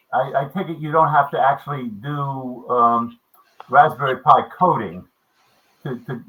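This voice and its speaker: A man's voice: medium pitch, sharp tone, rough recording. A man in his seventies chatting casually in a meeting, rambling with many restarts and fillers. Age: 70s. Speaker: maker club member. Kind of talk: casual video meeting chat.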